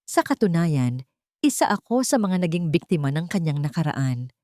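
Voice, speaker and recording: A woman's voice: sits low, normal speed, no room sound, studio quality. Woman voicing a dignified, determined widow. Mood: sorrowful, resolute. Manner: clear, calm, firm. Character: dignified, determined young widow standing up for herself.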